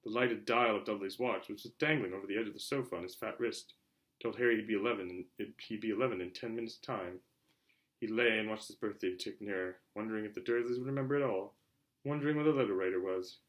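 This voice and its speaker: A man reading a storybook aloud as a narrator, in an expressive, theatrical style. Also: mid-range voice. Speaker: audiobook reader.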